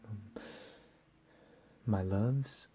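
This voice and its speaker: A man playing a deranged, menacing captor in a whispered ASMR roleplay, profane and slow with drawn-out emphasis. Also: on the low side, fairly even intonation, vocal fry, darkish tone, slightly rough, full character voice. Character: deranged menacing male captor, recently escaped asylum.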